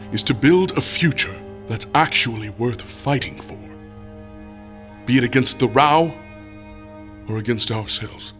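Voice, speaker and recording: A man playing a grave, resolute leader of outcasts in an audio drama, speaking in deliberate, solemn oratory. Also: medium pitch, natural voice. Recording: dramatic music behind the voice.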